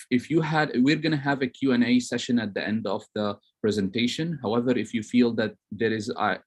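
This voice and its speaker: Male academic lecturer from Lebanon, medium pitch, semi-formal online presentation. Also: husky. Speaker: lecturer, periodontology professor.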